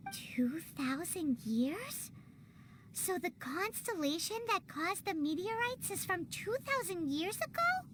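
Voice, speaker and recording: A tiny floating fairy girl from a video game, with an extremely high, childlike squeak. The delivery is exclamatory and swoops animatedly, yet the words come at an unhurried pace. She sounds cheeky and excitable. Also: a little hiss or hum, exaggerated voice. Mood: curious, puzzled. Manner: refers to self in third person, exclamatory.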